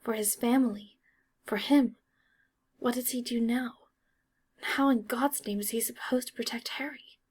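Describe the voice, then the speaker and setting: A woman's voice: a little high, steady tone, normal speed, long pauses, a little mellow, slightly rough. A woman narrating an audiobook, reading scripted fiction as dramatic storytelling.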